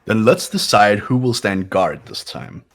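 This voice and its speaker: A man's voice: fairly low pitch, expressive, a little slow, creaky, a bit bright, faint music behind, exaggerated voice. A man voicing a cold, haughty, condescending young man who gives orders in a fan-voiced visual novel game. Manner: condescending, clipped.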